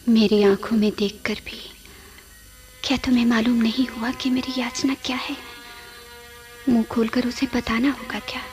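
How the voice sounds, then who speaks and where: An actress in a radio play playing a sorrowful, seductive female ghost, pleading in slow, half-whispered phrases. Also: on the high side, flat delivery, darkish tone, close to an everyday voice. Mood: yearning, pleading. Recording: music under the voice.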